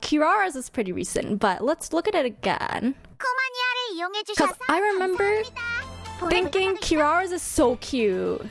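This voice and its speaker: High-pitched, very animated woman streamer. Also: measured pace, vocal fry, music in the background. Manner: expressive, gushing, slangy fan talk. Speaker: streamer hosting a ranking of game character trailers. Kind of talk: casual live-stream reaction commentary.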